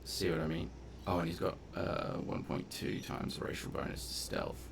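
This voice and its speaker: A man's voice: low-pitched, little pitch movement, normal speed, creaky, background noise. A man giving casual gameplay commentary, thinking aloud in a laid-back, colloquial mutter. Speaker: gameplay video host.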